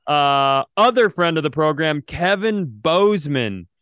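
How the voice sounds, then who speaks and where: A man chats casually as a comedy podcast co-host. His voice sits a little high and sounds smooth, with a very dry, close recording. He rambles wryly as he talks. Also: animated, takes it slowly, fairly bright tone, no echo.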